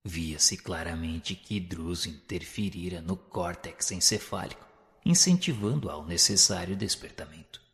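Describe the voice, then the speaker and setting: A man giving a solemn, measured narration of a spiritual novel in a radio drama. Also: low-pitched, animated, fairly bright tone, big-room sound. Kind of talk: scripted literary narration.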